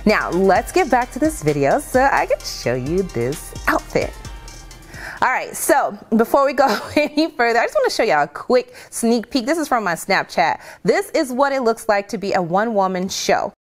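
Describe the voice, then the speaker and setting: A woman in her thirties vlogging casually about an outfit from her kitchen at home, chatty and animated, calling her viewers y'all. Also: on the high side, normal speed, faint music behind. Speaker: fashion vlogger. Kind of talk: casual fashion vlog talk.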